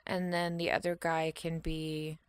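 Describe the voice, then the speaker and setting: A woman's voice: deep voice, little pitch movement, unhurried, clean tone, no room sound. A woman co-hosting in casual chat, blunt and informal with some swearing as she improvises a story. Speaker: podcast co-host.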